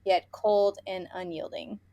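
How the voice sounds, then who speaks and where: A woman's voice: medium pitch, steady tone, measured pace, warm tone, no echo, lo-fi sound. A woman co-hosting a podcast, chatting casually about books in a playful, informal, gushing way. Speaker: podcast co-host. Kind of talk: casual book review chat.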